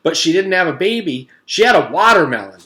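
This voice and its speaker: An eager, chatty schoolboy from a children's storybook, acted out by a man. The voice is pushed high and swings widely, rambling on with great emphasis. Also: big pitch swings, brisk, pushed and bright, full character voice. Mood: excited boasting. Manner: eager, emphatic, groaning for attention. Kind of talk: children's storybook read-aloud.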